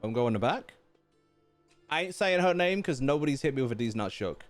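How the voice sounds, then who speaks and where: Male streamer, mid-pitched, casual and slangy, reacting live to a game, faint guitar music underneath. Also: expressive, brisk. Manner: slangy, jokey asides.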